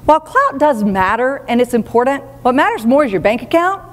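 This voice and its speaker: A woman with a fairly high voice gives a seminar keynote in a brisk, practical, no-nonsense manner. Her words ring out with the long reverb of a big event hall. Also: sing-song. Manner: brisk, practical, direct advice. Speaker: keynote speaker. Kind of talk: live seminar talk.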